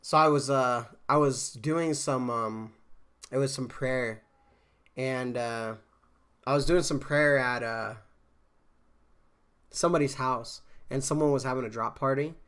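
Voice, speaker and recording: Relaxed male streamer, congested, rambling chatter, mid pitch. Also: a little slow, long pauses, clear, resonant tone. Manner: relaxed, rambling, lots of fillers and restarts. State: congested from a cold. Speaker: game streamer. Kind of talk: casual live-stream chat.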